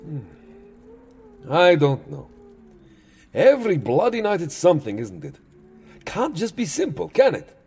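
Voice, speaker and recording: A man's voice: a little high, big pitch swings, unhurried, gravelly, long pauses, darkish tone, husky, heavily acted. A man playing a weary, exasperated vampire entertainer in a comic audio drama, grumbling theatrically and petulantly.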